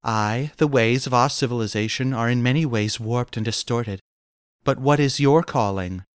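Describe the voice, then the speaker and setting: Young fantasy noblewoman, performed by a male reader: soft, smooth, formal and archaic, in a dry recording. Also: medium pitch, moderate pace, dry recording, lightly acted. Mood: composed, confiding.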